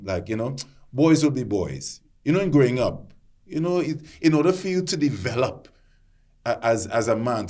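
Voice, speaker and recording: Earnest deep-voiced man in discussion. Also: darkish tone. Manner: earnest, repeats and restarts while building a point.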